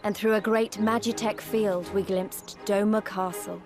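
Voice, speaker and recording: A woman playing a quietly resolute figure in a video game, narrating memories of war in a measured, reflective way. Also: medium pitch, steady tone, close to an everyday voice. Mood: somber, reflective. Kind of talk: scripted dramatic narration. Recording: loud music behind the voice.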